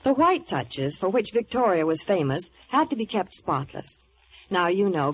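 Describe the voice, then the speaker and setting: A woman delivering scripted movie news and advertising in 1950s radio style. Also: medium pitch. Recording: noisy vintage radio broadcast.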